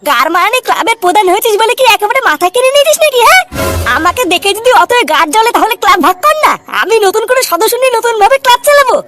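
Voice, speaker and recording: A man voicing a brash, foul-mouthed cartoon father who rants and taunts in a pitched-up voice. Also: lively intonation, very fast. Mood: furious, taunting. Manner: ranting, taunting, confrontational. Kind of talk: Bengali comedy cartoon dub. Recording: music behind the voice.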